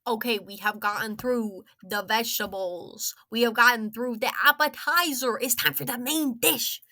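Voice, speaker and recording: A young adult woman with a high, very bright and forward voice gives a casual, rambling review. She sounds opinionated and sarcastic, leaning on slang and playing up her emphasis. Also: moderate pace. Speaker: video game story reviewer.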